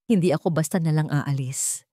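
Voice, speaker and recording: A woman voicing a heartbroken, gentle and apologetic female caregiver in a narrated drama story. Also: low-pitched, brisk, no echo, clean studio sound, natural voice. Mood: sorrowful, resigned.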